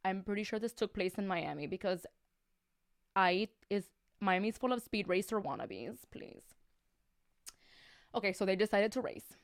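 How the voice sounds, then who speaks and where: A woman's voice: mid-range voice, lively intonation, moderate pace, pauses often, clear, resonant tone. A woman reading children's stories aloud in a comic, casual way, with sarcastic, chatty asides and slangy Spanish interjections. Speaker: storyteller on a livestream.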